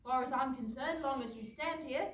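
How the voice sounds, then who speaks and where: A woman's voice: sits high, moderate pace, a bit bright. A woman playing a cynical, chatty castle guard, teasing and sarcastic, in a staged reading of a comic play. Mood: breezy teasing. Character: flippant, cynical, chatty castle guard who loves birds. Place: studio theatre. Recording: roomy theatre recording, distant mic, noisy.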